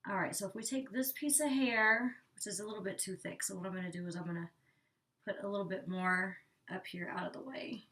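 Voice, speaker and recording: A woman's voice: medium pitch, fairly even intonation, brisk, fairly bright tone. A young woman chatting casually through a hair tutorial, rambling with lots of "like", a bit congested and out of breath. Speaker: beauty vlogger. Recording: distant from the mic.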